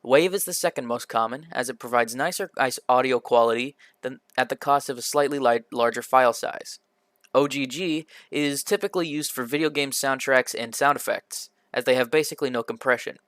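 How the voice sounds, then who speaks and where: A man presenting a scripted software tutorial in an instructional, step-by-step manner, occasionally stumbling over a word. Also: mid-range voice, brisk, no echo, studio quality. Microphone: computer microphone in a quiet home room, voice-over.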